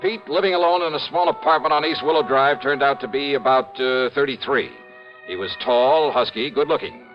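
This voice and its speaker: An American actor playing a wry, confident insurance investigator in a 1950s radio detective drama, with a dry delivery. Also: male voice, mid-range voice, moderate pace, natural voice. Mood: wry narration. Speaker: lead actor and narrator. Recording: muffled old radio recording, music behind.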